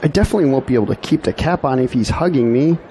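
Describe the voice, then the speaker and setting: A man's voice: medium pitch, animated, very fast, dark tone, roomy sound, long reverb tail, slight background noise, close to an everyday voice. A man playing an earnest young college graduate in an audio drama. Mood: casual, wry. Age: young adult. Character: earnest young male college graduate, later a weary diarist.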